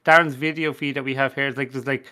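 A man in casual podcast banter, talking excitably and often repeating himself. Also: medium pitch, quick pace, smooth. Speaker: podcast panelist. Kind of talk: casual bantering group chat.

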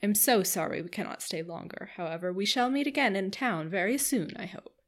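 A woman reader voicing a cheerful, giddy young wife who gushes in quick, eager chatter. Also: mid-range voice, animated, lightly acted. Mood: gushing. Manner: gushing, breathless chatter.

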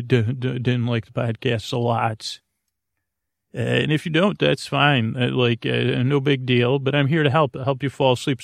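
A man in his forties with a creaky voice, hosting a sleep podcast in a rambling, halting, improvised monologue that keeps stumbling over itself. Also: medium pitch, no room sound, clean studio sound. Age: 40s. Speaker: podcast host.

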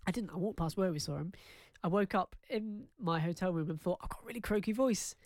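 A man co-hosting a comedy podcast, briskly reading out listener letters with playful asides. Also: high-pitched, dry recording, studio quality. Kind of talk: casual comic chat.

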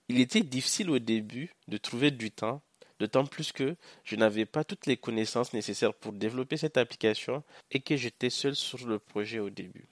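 A young man from Niger, a Hausa speaker, giving a measured, earnest first-person account on a podcast with occasional hesitant fillers. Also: mid-range voice, dry recording, studio quality. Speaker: podcast guest, social entrepreneur. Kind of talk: first-person podcast testimony, partly read.

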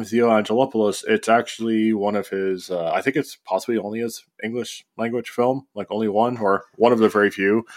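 A man co-hosting a film podcast, talking in a measured, thoughtful way with frequent pauses and ums. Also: fairly low pitch. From born in South Africa, raised in Canada. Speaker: podcast co-host. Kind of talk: casual film discussion.

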